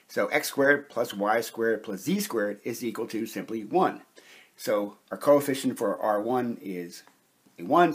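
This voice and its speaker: A man with a mid-pitched, husky voice works through a technical lesson at a whiteboard. He explains each step methodically, in the measured manner of an instructor. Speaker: chemistry instructor.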